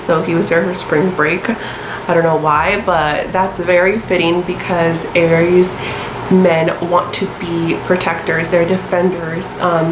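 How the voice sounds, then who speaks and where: A woman's voice: on the low side, reverberant, background noise. A young woman in her twenties with a stuffy nose from a sinus infection, chatting casually to camera with lots of ums and likes. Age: 20s. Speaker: astrology vlogger.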